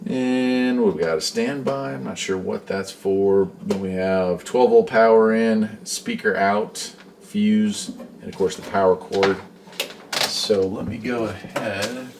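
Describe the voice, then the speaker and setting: Low-voiced American man, casual and folksy. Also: takes it slowly, a little mellow, slight background noise. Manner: folksy, rambling, conversational. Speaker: host, radio hobbyist. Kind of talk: casual product showcase vlog.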